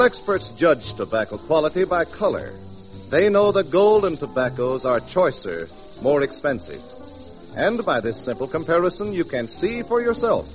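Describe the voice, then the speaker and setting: A man's voice: fairly high pitch, poor audio. A man with an American accent announcing on a 1940s radio show in a polished, emphatic way. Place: radio studio before an audience. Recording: 1940s radio broadcast with music.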